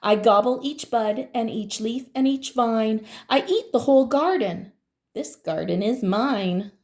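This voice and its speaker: A woman's voice: a little high, animated, a little slow, a little mellow. A woman voicing a greedy, boastful woodchuck in a children's storybook, with a sniffy manner.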